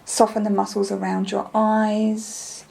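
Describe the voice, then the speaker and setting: Soothing woman, soft and creaky, guiding meditation. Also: mid-range voice, flat delivery, low-quality recording. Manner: soothing, gentle, unhurried instruction with soft breathy phrase endings. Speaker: meditation guide. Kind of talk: guided meditation.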